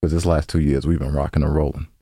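A man's voice: very deep voice, little pitch movement, fast talker, mellow, husky, no room sound, studio quality. A man co-hosting a podcast in casual banter, rambling informally and often repeating his phrases. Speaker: podcast co-host. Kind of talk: casual banter between co-hosts.

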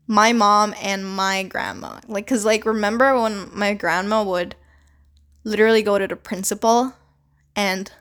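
A woman's voice: medium pitch, fairly even intonation, a little slow, fairly bright tone. A young woman from the Philippines who speaks with a Canadian accent, talking alone in a casual podcast monologue with lots of "like" and "you know" fillers and offhand swearing. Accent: Canadian English. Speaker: podcast host.

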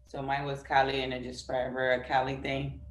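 A woman's voice: a bass voice, fairly quick, slight background noise. Chatty woman guest in an echoey room. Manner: animated, acts out other people's quotes, frequent "like" and "you know". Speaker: podcast guest. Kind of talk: casual interview chat.

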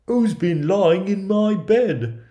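A small, kindly, fussy dwarf from a storybook , acted out by a man. The voice is pitched well up and speaks in emphatic exclamations. The recording is roomy and echoing. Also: very animated, unhurried, dark tone, highs cut off. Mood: indignant, puzzled. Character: small male dwarves of the mines, fussy, astonished, kindly. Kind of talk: fairy tale character voices.

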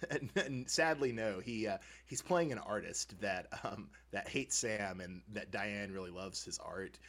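A man co-hosting a podcast, chatting casually and comically as a fan, with animated, informal, chuckling banter. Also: fairly low pitch, a little slow. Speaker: podcast co-host. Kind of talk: casual comedic fan discussion.

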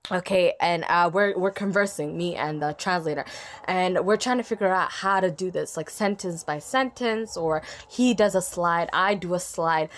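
A young woman on a podcast telling a funny story in casual chat, lively and self-mocking, often breaking into laughter. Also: on the low side, moderate pace. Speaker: podcast guest.

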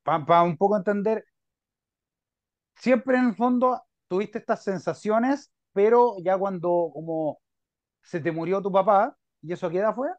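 Chilean male podcast host, raised pitch, relaxed talker, muffled dry audio. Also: measured pace, no room sound. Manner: relaxed, chatty, talks about himself. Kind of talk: casual interview chat. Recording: dull dry sound.

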